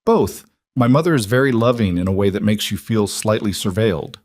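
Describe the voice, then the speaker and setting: A man's voice: fairly low pitch, lively intonation, fairly quick, darkish tone, dry recording. A man voicing a guarded, weary, candid woman executive in a narrated story.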